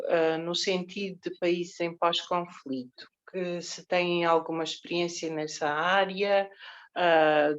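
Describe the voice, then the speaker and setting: A woman moderating an online panel, asking long questions with many fillers and restarts. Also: fairly low pitch. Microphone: video call. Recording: dull lo-fi video call audio.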